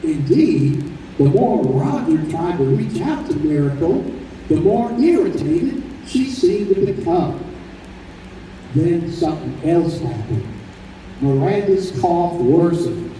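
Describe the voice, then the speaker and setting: Slow, very mellow man narrating, acted out. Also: on the high side, sing-song. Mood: solemn storytelling. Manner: measured storytelling. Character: measured male narrator of a sentimental family story. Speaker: narrator in a radio play. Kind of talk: old time radio drama narration. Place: convention hall stage. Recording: roomy live stage recording, distant, faint noise.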